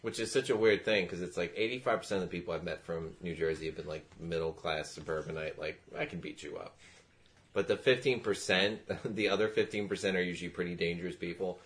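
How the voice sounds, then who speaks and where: A man's voice: deep voice, quick pace, fairly bright tone. A young man co-hosting a podcast, joking and rambling in casual banter with friends, swearing freely. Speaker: podcast co-host.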